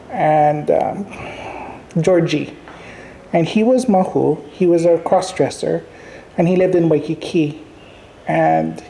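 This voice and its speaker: A woman from Hawaii whose first language is Hawaiian, interviewing in a relaxed, thoughtful way with frequent pauses and fillers. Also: deep voice, creaky, slight background noise, poor audio. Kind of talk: conversational interview.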